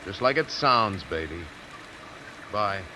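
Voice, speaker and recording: An actor playing a bitter, curt, sardonic ex-convict crook in 1940s radio drama style. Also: male voice, fairly low pitch, animated, takes it slowly. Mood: bitter, cool. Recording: noisy old radio broadcast, rain-like hiss.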